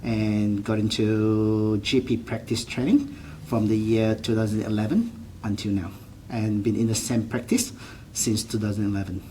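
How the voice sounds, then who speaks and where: A man's voice: on the low side, level tone, moderate pace, warm tone, slight background noise. A man in his forties from Malaysia with a Malaysian accent, a GP answering interview questions earnestly, often restarting his sentences. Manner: earnest, with frequent restarts and non-standard grammar. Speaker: general practitioner.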